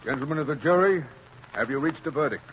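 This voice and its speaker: Stern male judge, played by a man, formal and authoritative, slightly high voice, muffled 1930s radio drama. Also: expressive, quick pace, heavy background noise, close to an everyday voice. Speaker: radio actor.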